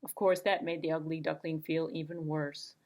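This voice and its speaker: A woman narrating a children's storybook puppet show in an expressive, deliberate way with playful sound words. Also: deep voice.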